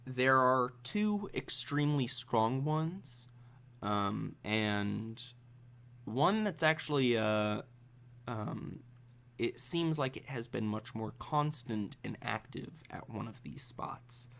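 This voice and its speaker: A man runs a tabletop role-playing game as game master. His voice has a heavy creak at an ordinary male pitch. He improvises the narration haltingly, thinking aloud as he goes. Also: expressive, fairly bright tone.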